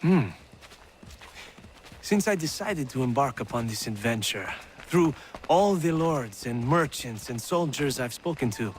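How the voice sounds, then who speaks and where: A man's voice: mid-range voice, lively intonation, measured pace, close to an everyday voice. Male actor as young French nobleman officer, game character, gracious, wistful, poetic, faint noise behind. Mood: wistful, idealistic.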